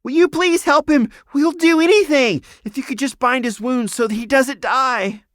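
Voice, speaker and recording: Man doing a high, desperate pleading rat. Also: normal speed, fairly bright tone, dry recording, clean studio sound. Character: desperate rough rat soldier, shrieking and pleading.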